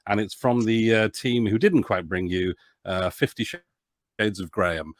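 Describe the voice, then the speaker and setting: Male podcast guest, deep low voice, dry close sound, hesitant, rambling casual chat. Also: steady tone, unhurried, dry recording. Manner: hesitant, rambling, self-interrupting. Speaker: podcast guest, author and producer.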